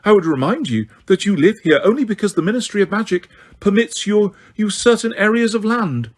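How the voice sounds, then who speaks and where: A man doing a shrill, pompous official woman. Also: animated, brisk. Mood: shrill, haughty. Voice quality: high-pitched, terrified. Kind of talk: audiobook character voice.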